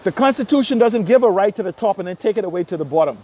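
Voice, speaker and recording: A man's voice: high voice, lively intonation, rapid-fire, darkish tone. A Bahamian man delivering an impassioned political monologue, speaking emphatically with pointed rhetorical questions and repetition. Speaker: political commentator.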